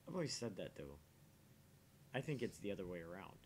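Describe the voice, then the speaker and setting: A man's voice: low voice, very animated, brisk, slight background noise. A man co-hosting a game stream, casually explaining his opinions at length and repeating phrases to make his point. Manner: explains at length with repetition and restarts. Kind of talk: casual chat, opinionated musing.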